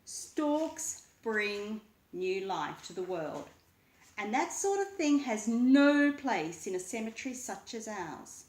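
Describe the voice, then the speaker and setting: A woman's voice: medium pitch, sing-song, slow pace, reverberant. A woman voicing a haughty, fearful old male skeleton with a leathery, whispery voice in a children's storybook. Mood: disdainful. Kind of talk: children's storybook read-aloud.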